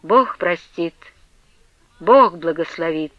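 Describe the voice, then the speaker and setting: A woman's voice: lowish voice, animated, slow, no room sound, dull audio, pitched below the performer's own voice. A woman voicing a stern abbess in an audiobook, speaking in a level, commanding, dispassionate way. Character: stern, imperious, cold-mannered abbess of a convent.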